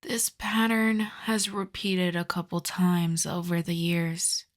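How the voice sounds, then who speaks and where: A woman voicing a seductive, possessive female vampire in an intimate ASMR roleplay, speaking softly and teasingly, often in a half-whisper. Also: lowish voice, flat delivery. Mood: wistful, teasing. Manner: slow, soft, breathy half-whispered teasing delivery.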